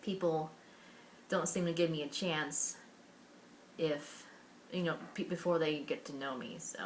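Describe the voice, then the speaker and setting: A woman's voice: sits low, steady tone, unhurried, slight background noise, low-quality recording. A young American woman from Washington State telling her own life story to camera in an earnest, candid way, with many fillers and restarts. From Washington State, USA. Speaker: vlogger.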